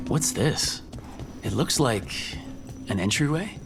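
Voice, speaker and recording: An actor playing an alert, pragmatic fantasy adventurer in a video game, with a conversational, matter-of-fact delivery. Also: male voice, medium pitch, animated, slow pace, vocal fry, noisy background. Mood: puzzled. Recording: loud game music behind the voice.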